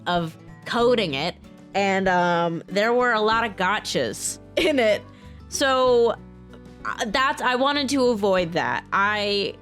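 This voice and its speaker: A woman talking casually in a vlog announcement, informal and halting, often restarting her sentences. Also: mid-range voice, a bit bright. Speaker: voice actor, software engineer and game modder.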